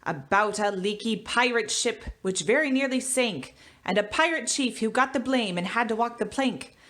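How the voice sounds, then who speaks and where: Higher-pitched woman reading aloud for children. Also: moderate pace. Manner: expressive, animated reading. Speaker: elementary school teacher. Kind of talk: storybook read-aloud for children.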